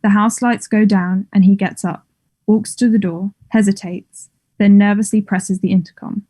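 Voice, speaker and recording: Mellow woman reading stage directions. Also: medium pitch, fairly even intonation, normal speed, slightly rough. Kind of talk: scripted narration at a table read.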